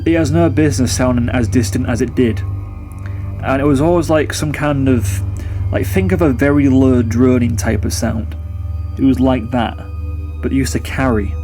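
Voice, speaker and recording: A man's voice: medium pitch, steady tone, normal speed, warm tone. Young male storyteller, conversational and matter-of-fact, mid-range voice, reflective personal spooky tale with music underneath. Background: background music.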